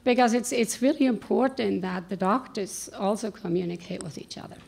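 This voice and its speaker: A woman's voice: mid-range voice, a little fast, creaky, a little mellow, husky. A woman from the United States making an earnest, insistent comment from the audience at a conference panel, speaking into a handheld microphone in a large hall. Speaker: audience member asking a question. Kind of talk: spontaneous comment from the floor.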